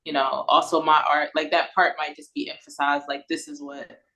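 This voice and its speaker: Hesitant woman with a lowish voice. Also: level tone, moderate pace, pushed and bright, rough recording. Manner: hesitant, informal. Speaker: collaborator on an audio play. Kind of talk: casual meeting chat. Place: online video meeting. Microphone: video call.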